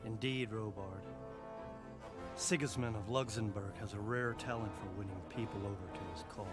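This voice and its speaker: An actor playing a courteous, shrewd castle lord in a video game, speaking in a measured, drily ironic way. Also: male voice, on the low side, fairly even intonation, loud music behind the voice, natural voice. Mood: dry, wary. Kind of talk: scripted video game cutscene acting.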